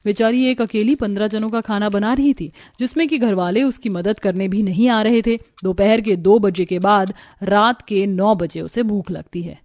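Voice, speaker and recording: A woman's voice: medium pitch, normal speed, few pauses, darkish tone, no room sound. A woman reading scripted narration for a cartoon family drama. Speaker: story narrator.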